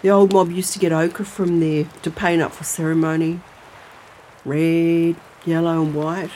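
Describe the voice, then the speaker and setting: Actress as low-voiced older Australian grandmother, crickets behind. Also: level tone, unhurried, dark tone, slight background noise, natural voice, rough recording. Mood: wistful storytelling. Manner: homely, colloquial. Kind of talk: naturalistic audio drama dialogue and storytelling.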